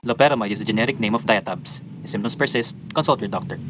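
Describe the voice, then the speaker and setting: A man's voice: on the low side, fast talker. A man reading a radio commercial as its announcer, on a very noisy recording. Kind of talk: radio ad read.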